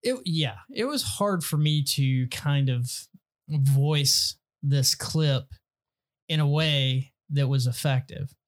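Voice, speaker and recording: A man's voice: a little high, slow. Joking man co-hosting a podcast. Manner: joking, rambling with restarts. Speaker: podcast co-host. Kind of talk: casual comedic banter.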